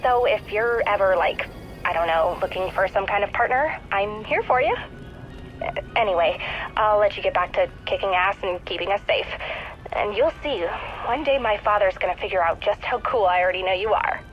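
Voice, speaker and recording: Casting: actress as spunky, chatty teen girl sidekick for a game, mid-pitched, upbeat and sincere. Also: moderate pace, dull audio, faint music behind, close to an everyday voice. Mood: excited, admiring. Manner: casual, upbeat, confiding. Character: plucky, earnest, chatty teenage girl, eager young sidekick. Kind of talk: naturalistic video game voice acting.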